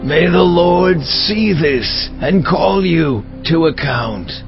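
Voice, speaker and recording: A man playing a righteous Hebrew prophet in dramatized scripture, slowly declaiming solemn divine judgment. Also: on the high side, lively intonation. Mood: anguished judgment. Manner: slow, declamatory. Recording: dull audio with music behind. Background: background music.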